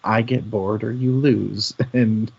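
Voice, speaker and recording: A man with a low voice chats casually as co-host of a book discussion. He rambles and restarts his thoughts as he works through them. The audio is somewhat dull and band-limited. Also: fairly even intonation, takes it slowly, gravelly, slightly rough.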